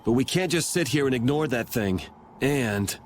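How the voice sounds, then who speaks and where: A man's voice: medium pitch, lively intonation, moderate pace, a little hiss or hum, lightly acted. Man as laid-back, daring young game hero. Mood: determined. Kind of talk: game voice acting.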